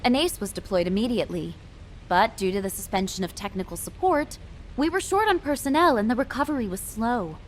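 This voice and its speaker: An actress playing a composed military officer who speaks formally and with measure, as in a video game briefing. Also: female voice, on the high side, animated, a little fast, a little hiss or hum, natural voice, poor audio.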